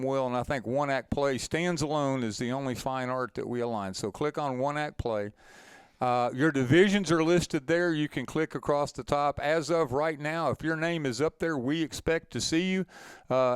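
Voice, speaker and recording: A man's voice: fairly high pitch, clean tone, no echo. A man hosting a webinar, explaining things step by step in an informal, folksy, conversational way. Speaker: webinar host and moderator.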